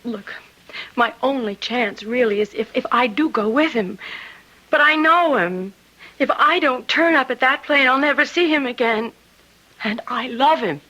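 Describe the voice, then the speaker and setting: An actress playing an earnest young secretary torn by love and duty in a radio drama, hesitant and pleading. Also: female voice, high voice, a little slow, bright, forceful tone, exaggerated voice, low-quality recording. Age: young adult.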